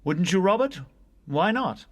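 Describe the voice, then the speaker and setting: A man voicing a courteous, curious, educated gentleman scholar in an audiobook, with polite and easy speech. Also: high-pitched, big pitch swings, measured pace, voice pushed up. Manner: polite, easy, well-spoken. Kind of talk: audiobook character voice.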